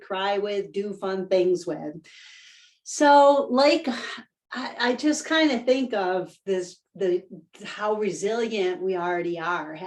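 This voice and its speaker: A woman's voice: medium pitch, a little mellow. Upbeat woman leading a webinar. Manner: upbeat and enthusiastic, laughs often. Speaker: workshop presenter. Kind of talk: live webinar talk. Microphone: video call.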